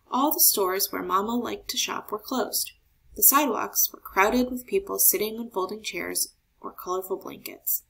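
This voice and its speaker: A woman narrating a children's picture book aloud in an expressive storytelling style. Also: mid-range voice, vocal fry, rough recording. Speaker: storybook reader.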